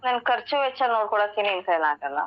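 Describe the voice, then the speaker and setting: A woman's voice: mid-range voice, animated, fast, dry recording. A woman on a phone call, taunting and scornful in a heated argument.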